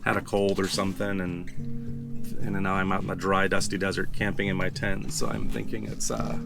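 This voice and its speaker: A raspy-voiced man with a fairly low pitch talks through a casual travel vlog, rambling and thinking aloud with plenty of fillers. He is outdoors in the desert, and clear music plays underneath. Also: level tone, fairly quick. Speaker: travel vlogger.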